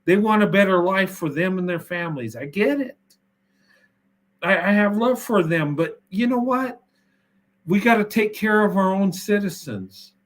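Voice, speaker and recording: A man with a notably high speaking voice talks politics in a loose, conversational way. He is emphatic and keeps stuttering and restarting his sentences as he pushes his point. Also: animated, moderate pace. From United States. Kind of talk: casual political discussion.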